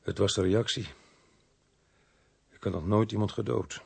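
An actor playing a sober, dutiful police inspector in a radio drama, speaking in a measured, formal manner. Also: male voice, fairly low pitch, fairly even intonation, vocal fry. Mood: shaken. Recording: slightly noisy old radio drama recording.

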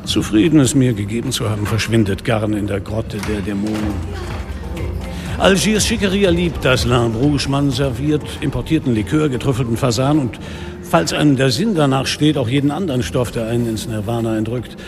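A man's voice: medium pitch, moderate pace, lightly acted. A man playing a sardonic, world-weary police commissioner in a radio drama, telling his case in a dry, literary, ironic way. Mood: wry. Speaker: narrator and lead actor. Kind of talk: radio drama narration and dialogue. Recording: studio radio drama, reverberant, music underneath.

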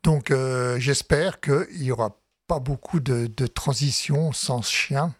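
A man speaking as a guest on a radio talk show, relaxed and conversational. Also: medium pitch, takes it slowly, breathy edge, dry recording, studio quality. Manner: relaxed, conversational, short agreeing replies. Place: radio studio.

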